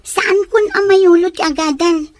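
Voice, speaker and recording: A woman's voice: very high-pitched, steady tone, a little slow, no echo. A young woman voicing a stubborn student daughter in an audio drama, talking in an insistent, pleading way. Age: young adult. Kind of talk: Ilocano radio-style audio drama.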